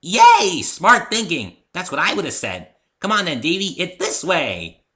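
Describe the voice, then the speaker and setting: A man's voice: high voice, wildly swooping pitch, unhurried, fairly bright tone, voice pushed up, exaggerated voice. A man voicing a hyperactive, cheerful young female cartoon pony in a bubbly, gushing manner. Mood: excited, gushing.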